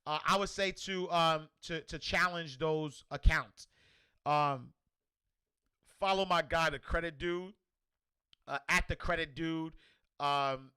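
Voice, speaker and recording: A man with a New York accent hosting a livestream, loudly and energetically answering viewer comments in casual chat. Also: a little high, clear, resonant tone. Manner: loud and energetic, reads comments aloud. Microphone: desk microphone.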